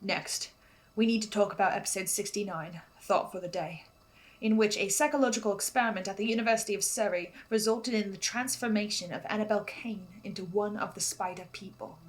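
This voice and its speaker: A woman's voice: medium pitch, poor audio. A woman narrating a scripted analysis as host, speaking earnestly and theatrically with precise wording. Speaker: host of a fan theory video series.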